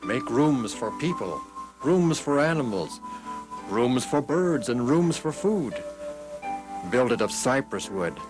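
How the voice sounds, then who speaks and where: God for a Bible storybook, played by a male reader: grave, unhurried, commanding, melodic delivery. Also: medium pitch, sing-song, poor audio. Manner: grave, declaring instructions in measured phrases. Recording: music behind the voice.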